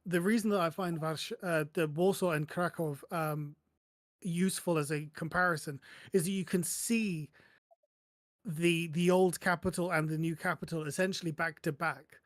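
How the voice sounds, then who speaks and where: A man with a somewhat high-pitched voice gives casual explanations as a podcast panellist. He stops and restarts, correcting himself along the way. The sound is very dry, with no room to it. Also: fairly even intonation, dry recording. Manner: halting, self-correcting.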